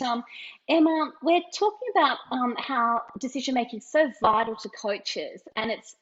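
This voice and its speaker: Upbeat woman hosting a podcast. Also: mid-range voice. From Australia. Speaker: podcast host. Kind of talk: casual podcast interview. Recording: dull lo-fi audio.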